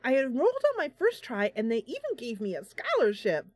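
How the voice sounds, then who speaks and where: A woman's voice: a little high, wildly swooping pitch, a little fast, darkish tone, dry recording, pitched above the performer's own voice. A woman voicing an eager, starry-eyed young female bard in a fantasy game, telling things in a gushing, emphatic way. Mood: cheerful, proud.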